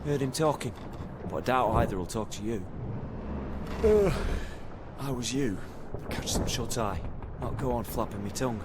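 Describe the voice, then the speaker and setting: An actor playing a chatty, grumbling, world-weary prisoner in a video game. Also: male voice, medium pitch, animated, normal speed, natural voice. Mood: chatty, advising. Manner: rough rustic working-class speech, grumbling. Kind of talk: video game cutscene acting. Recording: very noisy ship ambience.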